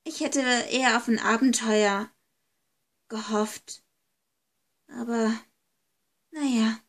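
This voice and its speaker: A woman's voice: a little high, steady tone, takes it slowly. A woman voicing a timid, apologetic young friend in an amateur horror audio story. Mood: subdued, wistful.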